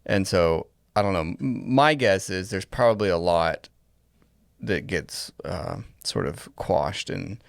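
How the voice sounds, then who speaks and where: A man's voice: sits low, slow pace, creaky, dry recording, studio quality. A man co-hosting a casual podcast discussion, speaking in a reflective, tentative way. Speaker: podcast co-host.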